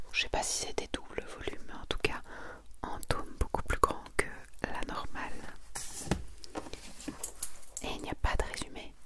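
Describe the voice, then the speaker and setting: A woman presenting and reading summaries in a soft, breathy whisper, as an ASMR host, with a gentle, unhurried delivery.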